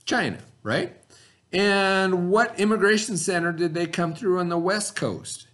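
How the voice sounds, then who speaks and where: A man's voice: sits high, measured pace, a bit bright. Man teaching a read-aloud lesson. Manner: explanatory, asks and answers own questions.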